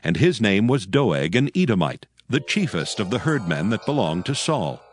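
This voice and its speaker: Solemn, expressive low-voiced male narrator. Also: moderate pace. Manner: solemn, storytelling. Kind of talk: scripted dramatic scripture narration. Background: music.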